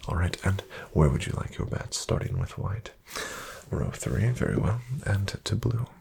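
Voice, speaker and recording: A man playing a courteous, composed casino dealer in a soft-spoken ASMR roleplay, with formal phrasing and a breathy near-whisper. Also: low-pitched, very animated, slight background noise, full character voice. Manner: formal, polite, measured phrasing.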